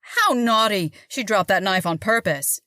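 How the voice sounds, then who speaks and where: A woman's voice: medium pitch, animated, measured pace, bright, forceful tone, dry recording. Woman playing storybook gossip, scandalized, disapproving, dry recording. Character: scandalized, gossiping onlookers in an old tale. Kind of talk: storybook reading.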